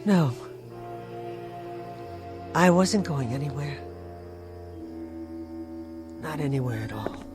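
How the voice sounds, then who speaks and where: A woman's voice: deep voice, animated, takes it slowly, pauses often, over music. An actress playing a bitter, weary, sarcastic woman, with dry, clipped phrasing in a vintage television drama. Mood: weary, resigned. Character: bitter, weary, sarcastic niece tending a robot. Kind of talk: 1960s television drama acting.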